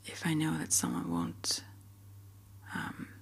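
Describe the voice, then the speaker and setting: Whispering woman, hesitant and gentle. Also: low voice, big pitch swings, slow pace, gravelly, husky. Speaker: ASMR video creator. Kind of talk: ASMR whispered ramble. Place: small upstairs apartment.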